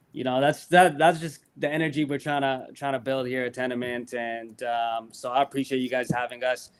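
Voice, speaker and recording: Smooth-voiced man pitching his app. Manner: upbeat, pitching features, with many fillers. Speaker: guest promoting a sports app. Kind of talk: casual promotional chat.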